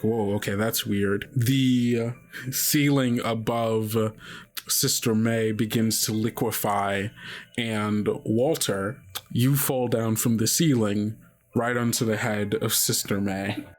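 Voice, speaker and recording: A man's voice: fairly low pitch, steady tone, slow pace. A man speaking as podcast host and game master, casually introducing and narrating an improvised tabletop game.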